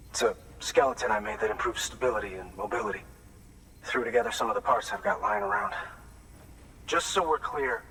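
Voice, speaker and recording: An actor playing a bitter, distrustful junk dealer in a video game, gruff and quick to swear. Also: male voice, mid-range voice, sharp tone, recorded from a distance, a little hiss or hum, poor audio. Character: bitter, foul-mouthed junk dealer, distrustful grieving man. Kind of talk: video game cutscene acting.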